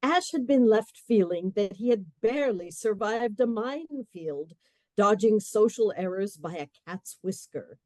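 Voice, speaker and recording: A woman giving scripted narration for a radio play, measured and storytelling. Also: mid-range voice, expressive, darkish tone, no room sound.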